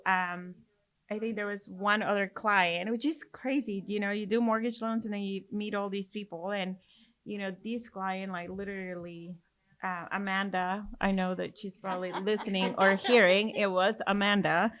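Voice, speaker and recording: Mexican accent lady hosting a podcast, bright voice, rambly chatty stories. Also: medium pitch, steady tone, measured pace. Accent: Mexican Spanish. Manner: chatty, storytelling with many fillers. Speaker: podcast host. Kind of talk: casual chat.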